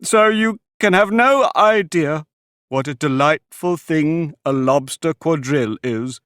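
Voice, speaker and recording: A man voicing a weepy, melancholy male sea creature who talks slowly and mournfully. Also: fairly high pitch, a bit bright, clean tone, no echo, clean studio sound, voice pushed up. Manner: slow, drawn-out and mournful.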